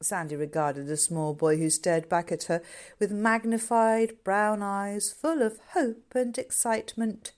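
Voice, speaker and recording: A woman's voice: medium pitch, unhurried. A woman reading her own novel aloud as scripted audiobook narration.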